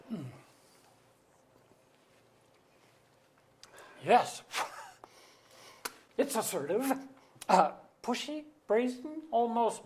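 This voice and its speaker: A woman playing a curmudgeonly middle-aged parent with a deadpan, deliberate wit in a staged comedy reading. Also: fairly high pitch, gravelly, slight background noise, full character voice. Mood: playful. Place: auditorium stage.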